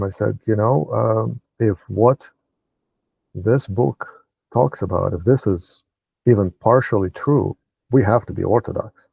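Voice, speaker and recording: A low, gravelly, husky male voice, dark in tone, recorded dry and close. He was born and raised in Russia and hosts the interview, asking long, winding questions full of hesitations. He speaks at a measured pace. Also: no echo, poor audio. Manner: hesitant, many fillers. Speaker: interviewer, podcast host. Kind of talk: casual interview conversation.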